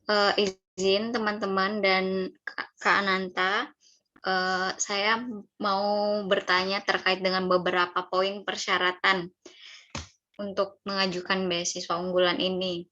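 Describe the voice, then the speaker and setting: A woman's voice: medium pitch, flat delivery, takes it slowly, long pauses, bright, forceful tone. A young woman asking questions politely and methodically at an online seminar, in a careful, deferential way. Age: young adult. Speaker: audience member asking questions. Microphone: online call, camera off. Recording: slightly dull video call audio.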